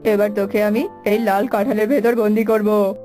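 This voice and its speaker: A woman's voice: medium pitch, fairly even intonation, normal speed, over music, pitched below the performer's own voice, lightly acted. A woman voicing a menacing male demon in a children's fairy-tale cartoon, gloating and bullying as he threatens. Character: menacing male demon who kidnaps bird chicks.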